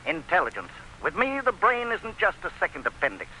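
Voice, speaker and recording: Casting: obstinate aging planter, sardonic, higher-pitched and very bright, on a faintly noisy old radio drama recording. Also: male voice, wildly swooping pitch, fairly quick, heavily acted. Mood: defiant, proud. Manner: sardonic, confident, declamatory. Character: stubborn, self-assured aging hulking male plantation owner.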